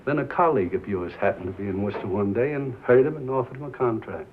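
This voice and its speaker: An actor playing a mild, earnest small-town station owner, halting and formal, as if confessing, in a 1950s film drama. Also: male voice, fairly low pitch, close to an everyday voice. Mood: resigned. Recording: muffled, hissy 1950s film soundtrack.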